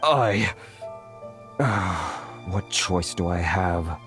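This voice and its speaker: Timid, animated game merchant. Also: male voice, deep voice, extremely slow, full character voice. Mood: anxious. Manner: hesitant, earnest. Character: timid, grateful male merchant, earnest and anxious. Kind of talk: video game voice acting. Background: background music.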